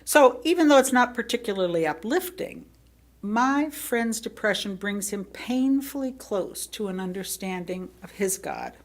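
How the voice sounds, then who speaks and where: Expressive woman reading a reflective sermon. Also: mid-range voice. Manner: reflective, with rhetorical questions and deliberate pauses. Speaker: lay speaker reading a sermon. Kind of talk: sermon read from a prepared text.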